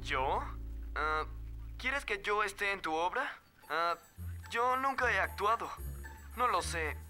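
An actor playing a polite, hesitant young boy in an animated series, good-natured, with a Latin American Spanish accent. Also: medium pitch, slow pace, soft background music, full character voice. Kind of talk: animated children's cartoon dubbing.

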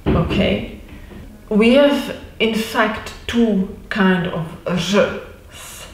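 A woman in her forties whose first language is Czech, teaching pronunciation to camera and demonstrating sounds slowly and reassuringly. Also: medium pitch, slight background noise. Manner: slow, deliberate, reassuring, repeats sounds to demonstrate. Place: indoor room with wooden door and bookshelf. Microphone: fixed camera at mid distance. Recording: roomy echo.

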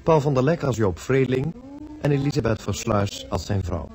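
A man's voice: medium pitch, animated, moderate pace, a little mellow. A man reading scripted radio credits as an announcer. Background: music.

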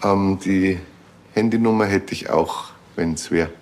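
An actor playing a sly, unbothered shop owner in a TV crime comedy, deadpan and evasive. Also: male voice, deep voice, slow pace, a little hiss or hum. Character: sly, unbothered male bakery owner dealing on commission.

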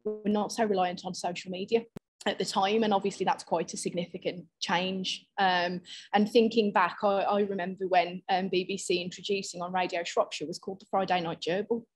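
Husky woman hosting a video call panel. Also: medium pitch, level tone, a little fast, poor audio. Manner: hesitant, many fillers and restarts. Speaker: panel host.

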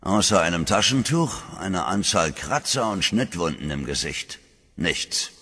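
An actor playing a blunt, gruff police officer in a German radio play, with a gravelly voice. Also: male voice, sits low, lively intonation, unhurried, pushed and bright, long reverb tail, a little hiss or hum, heavily acted.

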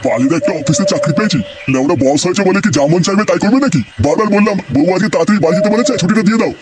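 Man acting a high-pitched, foul-mouthed cartoon village grumbler. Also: animated, racing, very noisy. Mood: grumbling. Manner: crude, brash, swearing.

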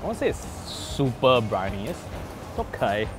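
A relaxed Singaporean man in his thirties, chatting and joking as he hosts a food show at a seaside restaurant. His voice sits in an ordinary middle pitch, and music plays underneath. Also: moderate pace. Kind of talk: casual food vlog chat.

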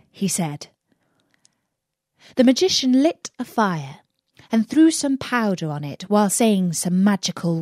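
A woman narrating a scripted fairy tale for English learners in a deliberate, expressive storytelling manner. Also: mid-range voice, no echo, clean studio sound. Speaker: audiobook narrator.

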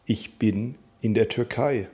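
A man teaching a German lesson, calmly naming countries and repeating example sentences for learners to follow. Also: lowish voice, takes it slowly, slightly rough. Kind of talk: slow, clear teaching with drill repetitions.

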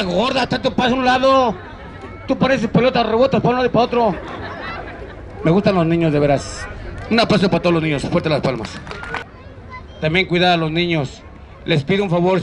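A man's voice: high-pitched, a little fast. A man with a Mexican accent working a crowd as a street comedian, bantering in a teasing, cheeky way. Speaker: street clown comedian hosting a live show. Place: outdoor public park in a city. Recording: outdoor urban noise, crowd around.

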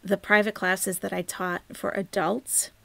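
Chatty woman with a gravelly voice. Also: mid-range voice, flat delivery, measured pace, a bit bright, no room sound. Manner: chatty, rambling, laughs often, drops to near-whisper asides. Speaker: live stream host. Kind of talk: casual chat reading viewer comments.

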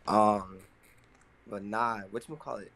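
A man's voice: lowish voice, steady tone, unhurried, fairly bright tone. A man from New York flirting over a video call, rambling in slang and repeating himself. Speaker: dating show contestant, streamer.

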